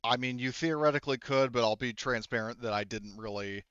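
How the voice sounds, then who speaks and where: A man acts as game master at a tabletop session. He explains in a casual, halting way, circling back over his phrases. His middle-pitched voice is recorded dry on a slightly dull mic. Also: fairly bright tone, smooth, no echo. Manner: halting, explanatory, repeats himself.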